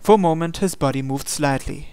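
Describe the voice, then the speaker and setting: A man reading scripted, dramatic story narration in a measured, solemn way. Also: a little high, a little mellow, smooth, no room sound. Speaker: narrator reading translated game text. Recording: music behind the voice. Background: music.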